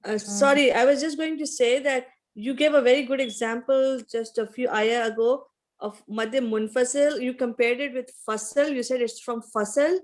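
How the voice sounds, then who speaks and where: A woman's voice: high-pitched, fairly even intonation, normal speed. A woman student whose first language is Urdu, chatting appreciatively in class with light laughter. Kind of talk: class discussion.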